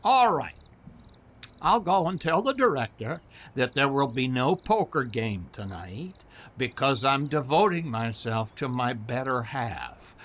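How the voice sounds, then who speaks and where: A grumbly older judge, henpecked husband in a radio drama. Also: male voice, medium pitch, a bit bright. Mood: grudging. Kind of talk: radio play acting.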